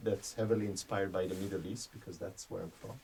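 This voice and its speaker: A man's voice: low-pitched, steady tone, quick pace, vocal fry, a little mellow, slightly rough, rough recording. A man in his forties from Israel, a retired chef turned spice merchant, explaining his ideas at length in a radio interview. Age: 40s.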